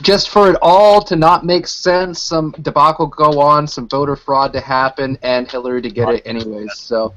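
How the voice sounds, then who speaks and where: A man's voice: on the high side, fairly even intonation, moderate pace, hardly any pauses, fairly bright tone. A man co-hosting a radio show in casual, joking chat over an online call. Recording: dull lo-fi online call audio.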